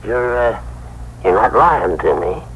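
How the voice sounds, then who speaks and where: A man's voice: lowish voice, expressive, a bit bright. An actor playing the old year as a stubborn, aggrieved old man in a 1960s radio drama, blustery and defensive. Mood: wavering. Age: older. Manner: blustery, defensive, halting when challenged. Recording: noisy, humming old radio broadcast.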